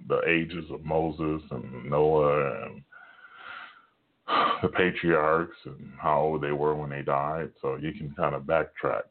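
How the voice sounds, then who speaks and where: Very deep, gravelly hushed preacher man. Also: phone-quality sound. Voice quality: very deep, rumbling voice. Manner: hushed, halting talk with many fillers. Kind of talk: informal home sermon. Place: home at night, son in next room.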